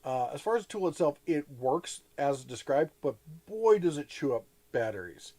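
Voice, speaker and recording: A man's voice: on the high side, big pitch swings, moderate pace, dark tone. A man hosting a casual live chat, rambling with frequent restarts and plenty of enthusiasm. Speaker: host.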